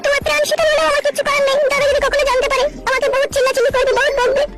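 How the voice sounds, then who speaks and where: A woman voicing a coy, wary young village woman in a comic cartoon, shrill and questioning in an exaggerated way. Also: flat delivery, very fast, fairly bright tone, soft background music. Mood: wary, scolding.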